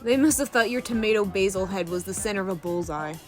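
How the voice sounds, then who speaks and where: A woman's voice: mid-range voice, quick pace, darkish tone, soft background music, close to an everyday voice. A woman playing a sardonic, crude, teasing rogue of a space crew in an audio drama, with a sarcastic, needling delivery.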